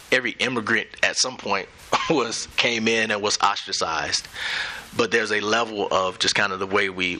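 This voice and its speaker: A middle-aged man speaking as a guest in a live onstage conversation, measured and thoughtful with light laughs. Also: sits low, bright, forceful tone, poor audio. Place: event center stage.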